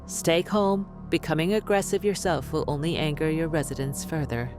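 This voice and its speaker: An actress playing a smug, dismissive doctor, clinical and condescending as a guest in a radio drama. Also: female voice, deep voice, steady tone, moderate pace, over music, close to an everyday voice. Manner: clinical, self-assured, condescending. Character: smug, dismissive female doctor and skeptic author. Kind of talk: radio interview acting.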